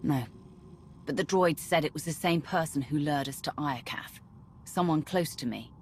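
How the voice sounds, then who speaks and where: An actress playing a cold, vengeful Sith warrior commander in a video game, menacing and commanding. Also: female voice, sits low, normal speed, slight background noise, lightly acted. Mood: suspicious, cold. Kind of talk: cinematic video game voice acting.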